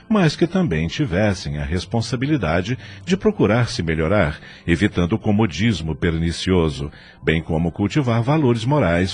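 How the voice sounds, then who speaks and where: A man's voice: low-pitched, darkish tone. A man with a Brazilian accent giving scripted narration for an audio drama in a solemn, formal storytelling manner.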